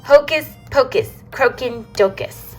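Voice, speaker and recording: A little girl who plays at being a fairy, chanting her made-up spells in a lilting sing-song, as a woman reads her lines aloud. Her voice sits lighter and higher, and she takes her time. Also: measured pace, soft background music.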